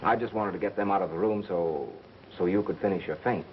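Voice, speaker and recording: An actor playing a calm, matter-of-fact radio engineer turned amateur detective in a 1930s mystery film. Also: male voice, low-pitched, brisk, noisy background, close to an everyday voice. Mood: assured, explaining. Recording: muffled 1930s film soundtrack with music.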